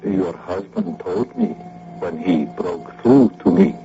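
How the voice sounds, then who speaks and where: Gravelly, slow-talking mystic master. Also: male voice, low-pitched, wildly swooping pitch, music in the background, heavily acted. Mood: ominous. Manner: grandiose, slow, sermonizing pronouncements. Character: solemn foreign mystic doctor, a hypnotic spiritualist master. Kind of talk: old-time radio drama acting. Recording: muffled, noisy radio drama recording.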